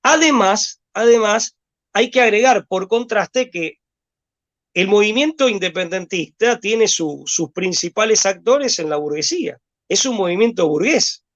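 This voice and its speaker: Argentine man from Rosario, high-pitched, thinks aloud with many fillers, muffled lo-fi audio. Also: expressive, unhurried, fairly bright tone. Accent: Rosario Argentine Spanish. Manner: rambling, hesitant with repeated words and fillers. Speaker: guest. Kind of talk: discursive conversation.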